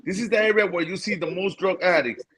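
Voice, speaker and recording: A man speaks up high and fervently as a guest. He presses his points by repeating words and building momentum. It is loose, casual panel talk. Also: quick pace, fairly bright tone, breathy edge. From Puerto Rico. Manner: passionate, repeats words for emphasis. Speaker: guest, boxer.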